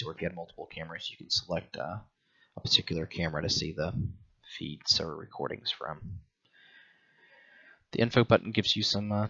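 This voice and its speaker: A middle-aged man giving a casual product walkthrough as a reviewer, explaining step by step in a halting, hesitant way. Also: low-pitched, a bit bright, highs cut off.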